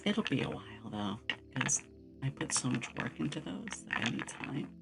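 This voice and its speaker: A woman's voice: rumbling low, normal speed, creaky, over loud music. A woman chatting casually on an ASMR livestream, rambling with playful asides, close on a binaural microphone. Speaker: streamer and game master.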